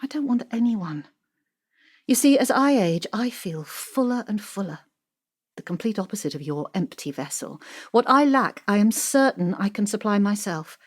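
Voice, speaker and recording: A woman's voice: mid-range voice, expressive, close to an everyday voice. Woman playing a cutting, proud middle-aged woman, swooping intonation. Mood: self-assured. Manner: brisk, assertive, dismissive. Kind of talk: audio drama acting.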